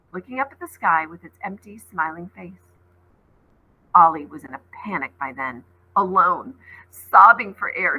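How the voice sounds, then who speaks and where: A woman teacher reads a novel aloud with a bright, forward tone and a husky, breathy edge. Her delivery is expressive, lifting sharply in pitch whenever a character calls out. It has the feel of a classroom storytime reading. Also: poor audio. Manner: expressive read-aloud, voices rising high on called names.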